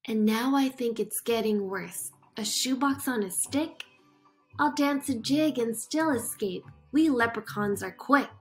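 A woman's voice: on the high side, a little slow, natural voice, poor audio. A woman voicing a cocky, mischievous old male leprechaun in boastful, teasing rhymes from a picture book. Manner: boastful, teasing, singsong rhyming. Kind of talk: picture book read-aloud. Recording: music behind the voice.

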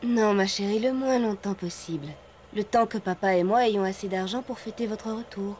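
A woman's voice: mid-range voice, moderate pace, darkish tone, a little hiss or hum, close to an everyday voice. A woman voicing a tender, worried mother in a French cartoon dub, soothing and comforting.

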